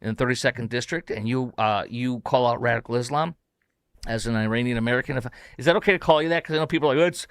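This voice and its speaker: A man hosts a talk show from his house, talking in a loose, rambling way full of fillers and restarts that turns excitable. His voice is smooth and resonant, and the sound is close and dry, with no room around it. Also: medium pitch, fast talker, no room sound. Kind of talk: casual talk show chat. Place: home studio.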